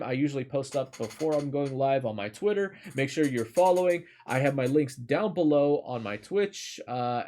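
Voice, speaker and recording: Male streamer-storyteller, average pitch, informal, rambling, reads tales and chats to viewers. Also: a little mellow. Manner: casual, rambling, swears lightly. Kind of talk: reading a fairy tale aloud, casual livestream chat.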